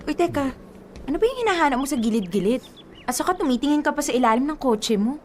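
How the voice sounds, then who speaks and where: A woman's voice: high-pitched, expressive, fast, a little hiss or hum. A woman playing a sweet, playful, superstitious young girlfriend in a Tagalog radio drama, with a Filipino accent. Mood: light, apologetic.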